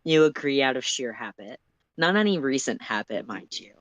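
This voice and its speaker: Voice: mid-range voice, animated, moderate pace, fairly bright tone, dry recording, low-quality recording. A narrator reads fiction aloud with expression. The voice is mid-range and recorded very dry, with little room around it. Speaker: story reader.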